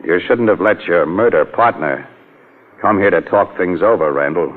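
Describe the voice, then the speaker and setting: A man's voice: deep voice, lively intonation, moderate pace. An actor playing a shrewd, confident newspaperman sleuth in 1940s radio drama style. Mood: confident, accusing. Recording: narrow old radio recording, faint music.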